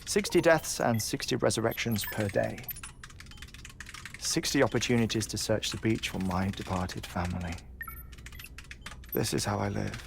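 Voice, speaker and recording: An actor playing a calm, measured, dryly wry man who dies every twenty-one minutes, in a video game cutscene. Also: fairly low pitch, gravelly, noisy background. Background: game soundtrack music.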